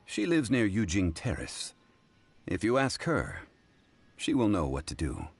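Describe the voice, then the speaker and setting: A dignified, mysterious game gentleman with a deep voice and a husky edge. His delivery is formal and courteous, rising and falling expressively over soft music. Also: wildly swooping pitch, a little slow, full character voice. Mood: calm, formal. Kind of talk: video game voice acting.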